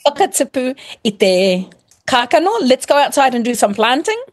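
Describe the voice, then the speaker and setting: A middle-aged woman with a New Zealand accent talks like a teacher, laying out examples one after another. She pauses to rephrase and restart, steady and friendly. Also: high voice. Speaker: guest, primary school teacher. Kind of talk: casual explanatory chat, mixing Māori and English.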